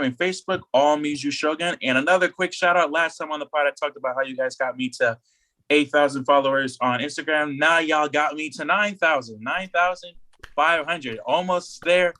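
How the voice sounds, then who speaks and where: A man chatting casually as a podcast co-host, rambling in an animated way and acting out imagined remarks. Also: on the high side, moderate pace.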